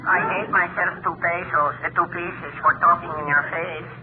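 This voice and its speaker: An actor playing an amiable, lovestruck farmhand with a Mexican accent and florid broken English in a 1940s radio comedy. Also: male voice, high-pitched, fairly even intonation, normal speed, close to an everyday voice. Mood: playful, apologetic. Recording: tinny, hissy 1940s radio recording.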